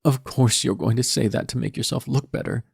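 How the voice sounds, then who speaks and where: A man voicing a distraught teenage daughter who shouts accusations through tears. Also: medium pitch, fairly even intonation, fairly quick, creaky, breathy edge, no echo, studio quality, pitched above the performer's own voice, heavily acted. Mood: accusing.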